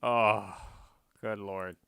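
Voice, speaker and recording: A man's voice: on the low side, fairly even intonation, extremely slow, pushed and bright, clear, resonant tone, no echo, clean studio sound. A man streaming a game and chatting casually, rambling and correcting himself with small laughs. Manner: rambling, self-correcting, chuckling. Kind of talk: casual chat while gaming.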